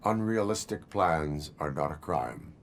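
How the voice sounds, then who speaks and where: A cyborg lawman in a game cutscene. His voice is very low, formal and clipped, without emotion. A faint rumble sits behind it. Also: animated, measured pace, full character voice. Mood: stern, emotionless. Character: stoic cyborg police officer, rigid and literal. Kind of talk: video game cutscene acting.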